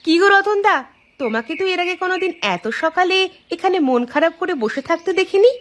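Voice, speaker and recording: A woman's voice: extremely high pitch, lively intonation, moderate pace. A woman playing a stern, suspicious village wife in a cartoon, questioning and nagging with emphasis. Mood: concerned. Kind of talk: Bengali cartoon dialogue dub.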